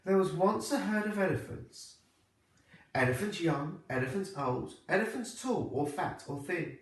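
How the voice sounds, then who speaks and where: A man reading a children's storybook aloud like a primary teacher, with expressive, deliberate phrasing. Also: high voice. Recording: echoey room, distant microphone.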